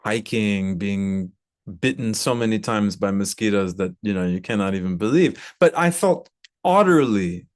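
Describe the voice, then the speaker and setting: Low-voiced, reflective American man. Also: expressive, normal speed. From Brooklyn, United States. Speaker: composer and violinist interviewee. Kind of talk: reflective interview talk.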